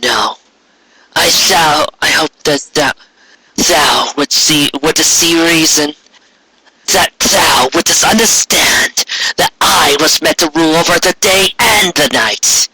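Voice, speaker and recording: Guy doing a haughty alicorn sun queen for a pony story, high n forceful, grand old-timey speech, drawn out. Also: slow pace, slightly rough, exaggerated voice, low-quality recording. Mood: pleading, proud. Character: imperious, self-righteous elder female alicorn sun queen.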